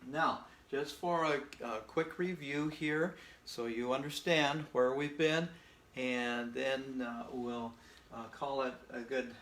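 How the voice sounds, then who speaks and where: A man's voice: medium pitch, steady tone, slow pace, fairly bright tone. A man whose first language is English, giving a casual spoken Spanish lesson in a patient, folksy way and checking in often with "okay?". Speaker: Spanish teacher.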